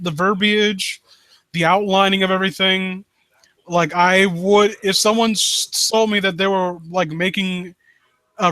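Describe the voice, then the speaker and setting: A man with a fairly high, bright, forceful voice chats casually as a critique host. He is blunt and swears freely, and the sound is very dry. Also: no echo, low-quality recording. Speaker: host of a live story critique show. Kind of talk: casual chat, off-the-cuff critique.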